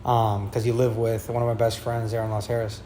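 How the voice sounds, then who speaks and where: A young man hosting a podcast, asking questions in a relaxed, joking way. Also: on the low side, a little fast, slight background noise. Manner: friendly, jokey questioning. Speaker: podcast host. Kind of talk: casual interview chat.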